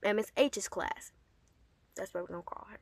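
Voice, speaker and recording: A woman telling a personal story casually to her audience, with an animated, emphatic and sassy manner. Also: medium pitch, normal speed, creaky, no echo. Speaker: storyteller and host addressing an audience.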